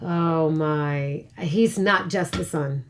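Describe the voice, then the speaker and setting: A woman's voice: sits low, slow pace, a little hiss or hum. A woman chatting casually as a panelist on a TV recap show.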